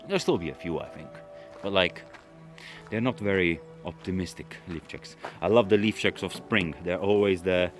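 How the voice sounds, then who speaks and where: Vlogger, male, from London, Russian his first language; deep, easygoing, meandering Q&A talk. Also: normal speed, soft background music. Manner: relaxed, rambling, with frequent fillers and restarts. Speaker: automotive YouTuber. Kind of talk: casual Q&A chat to camera.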